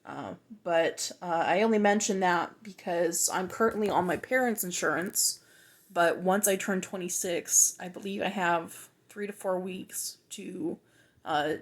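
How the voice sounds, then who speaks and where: Hesitant, reflective woman, lowish voice. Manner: reflective, hesitant, many ums and restarts. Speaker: video journal host. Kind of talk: casual personal video journal.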